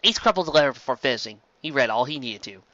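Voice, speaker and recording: A woman reading a fan-made story aloud as an expressive amateur narrator. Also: a bass voice, quick pace, dry recording. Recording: dull, close lo-fi recording.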